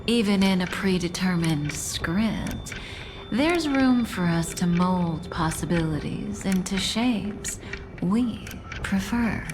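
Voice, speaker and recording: A calm, enigmatic female mentor from a video game. Her voice is lowish and soothing, very measured and slow, with noticeable ambience behind it. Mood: calm, guiding. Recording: clear background ambience noise.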